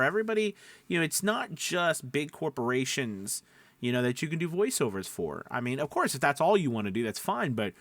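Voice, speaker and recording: A man's voice: medium pitch, fairly bright tone, dry recording. A man talking casually to camera as a coach and host, in a rambling, conversational way, often checking in with the listener. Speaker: voice-over coach hosting a video.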